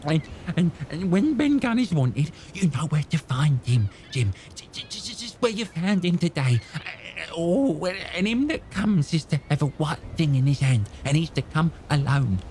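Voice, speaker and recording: A man's voice: fairly high pitch, very animated, moderate pace, warm tone, a little hiss or hum. An actor playing a half-crazed old male castaway who stammers, rambles and repeats himself. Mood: wheedling. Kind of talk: radio drama acting.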